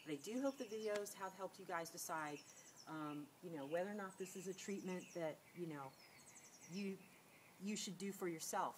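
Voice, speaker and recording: A woman's voice: lowish voice, normal speed. Nasal-sounding woman with a cold, outdoors. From California. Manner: chatty, rambling with many fillers and restarts. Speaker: beauty vlogger. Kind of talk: casual talk to camera. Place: outdoors against a sunlit wall. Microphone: camera on a tripod. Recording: noisy outdoor camera audio.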